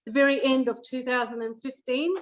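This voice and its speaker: A middle-aged woman with a fairly high voice chats as a guest. Her storytelling is casual and jovial, over slightly dull, lo-fi call audio. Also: little pitch movement, fairly quick. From Zambia, later Australia. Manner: jovial, often chuckles. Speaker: guest, cooking coach. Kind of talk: casual chat, personal storytelling.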